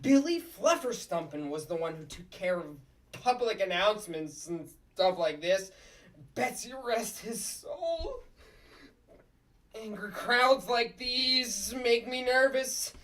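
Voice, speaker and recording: A woman's voice: mid-range voice, animated, slow pace, a bit bright, far from the mic. A woman voicing a nervous, flustered male puppet district attorney in a comedic table read, sometimes stammering. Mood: panicky.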